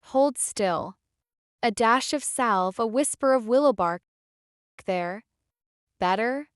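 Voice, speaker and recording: A woman's voice: on the high side, animated, takes it slowly, clean tone, dry recording, clean studio sound. A woman playing a kind, sensible furred healer in a fantasy audio drama, caring and matter-of-fact. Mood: soothing.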